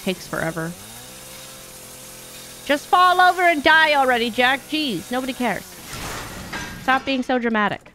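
A woman's voice: high voice, expressive, unhurried, pauses often, pushed and bright, slight background noise. A young woman giving casual, playful live commentary while streaming a video game, with mock exasperation. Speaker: streamer, speedrunner.

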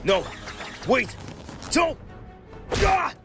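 A man voicing a frightened, confused, blinded mutant creature in a motion comic, pleading haltingly. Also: extremely high pitch, big pitch swings, full character voice. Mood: panicked, desperate. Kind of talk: scripted motion comic voice acting. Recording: music behind the voice.

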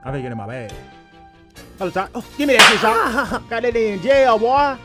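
A high-pitched man performs a comedic sports voiceover full of slangy trash talk. His delivery swings widely in pitch, and music plays behind him. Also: very animated, brisk.

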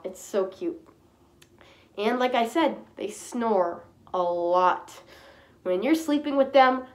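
A woman with a stuffy nose from a cold, chatting casually and rambling to camera from a small room. She often clears her throat. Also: medium pitch. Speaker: fursuit vlogger.

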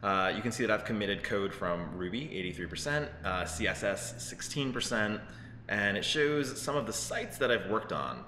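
Casting: young male co-host, low but bright voice, informal and jokey tech chat. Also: expressive, normal speed. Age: young adult. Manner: informal, joking, slangy. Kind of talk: casual tech news chat. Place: indoor open office with people working behind. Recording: roomy echo, some background noise.

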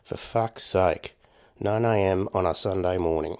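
A man's voice: deep voice, a little slow, a little mellow. Man playing a grumpy, bewildered hungover bloke. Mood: grumbling. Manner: muttering, retorting, confused. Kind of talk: audiobook character voice.

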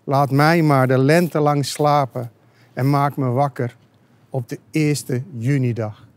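A man reciting poetry as a poet, deliberately, line by line. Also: mid-range voice, steady tone.

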